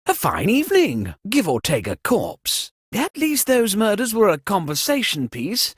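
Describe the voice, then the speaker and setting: A man's voice: sits high, huge pitch swings, measured pace, slightly rough, dry recording, studio quality, heavily acted. A man voicing a fussy, exasperated upper-class British party host in a comic video game, with a pompous, theatrical and sardonic delivery. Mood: wry, resigned.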